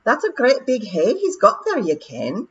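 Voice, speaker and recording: A woman's voice: on the high side, huge pitch swings, measured pace, pitched above the performer's own voice. Woman voicing a brash Scottish pixie chief. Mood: bluff. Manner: loud, boisterous, shouting. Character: brash tiny male pixie warrior leader. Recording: dull lo-fi audiobook recording.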